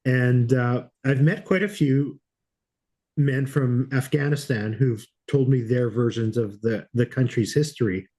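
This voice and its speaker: Man hosting an interview, mid-pitched voice. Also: measured pace, dark tone. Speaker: podcast host, interviewer. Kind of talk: casual interview talk.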